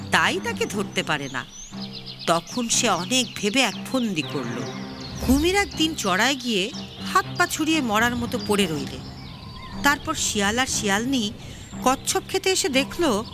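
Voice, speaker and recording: A woman narrating a children's story expressively, with music behind her. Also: a little high. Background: background music.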